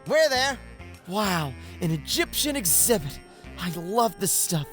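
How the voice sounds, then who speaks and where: Little anime schoolboy, really high voice, wide-eyed and bouncy pitch. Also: male voice, big pitch swings, a little slow, a bit bright, soft background music, full character voice. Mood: excited. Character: small eager high-voiced schoolboy, sweet and excitable. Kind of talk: audio manga dramatic acting.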